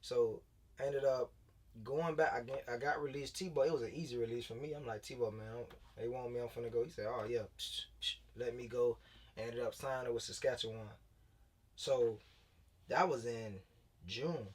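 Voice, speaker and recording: A man's voice: mid-range voice, steady tone, moderate pace, husky, recorded from a distance. Laid-back man in his late twenties, off-mic. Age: late 20s. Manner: loose, laid-back storytelling with many fillers and restarts. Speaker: guest, former pro football player turned high school coach. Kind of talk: casual interview talk.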